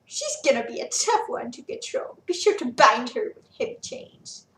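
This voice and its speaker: A woman's voice: sits high, very animated, moderate pace. Woman voicing a sinister gloating Roman general. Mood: menacing. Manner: sinister, mocking. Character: gloating cruel male Roman general villain. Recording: roomy echo, distant mic.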